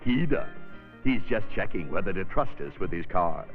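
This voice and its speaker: A man's voice: low voice, lively intonation, normal speed. An actor playing an exasperated, sardonic car service man narrating a comic 1960s training film in slangy, theatrical style. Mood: sardonic griping. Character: wisecracking, exasperated car dealership service man griping about customers. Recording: tinny, band-limited 1960s film soundtrack, music behind.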